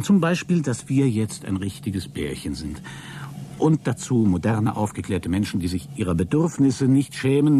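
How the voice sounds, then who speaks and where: Actor as pompous, smug astronaut, animated and mellow. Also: male voice, lowish voice, slight background noise, lightly acted. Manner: pompous, grandiloquent, wheedling. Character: smug, lecherous, delusionally upbeat male astronaut Walter. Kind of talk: satirical science-fiction radio drama acting.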